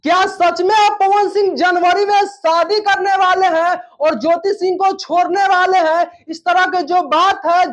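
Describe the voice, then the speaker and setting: A news presenter giving an informal commentary on viral news in repetitive, wordy phrasing. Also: extremely high pitch. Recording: dull lo-fi recording.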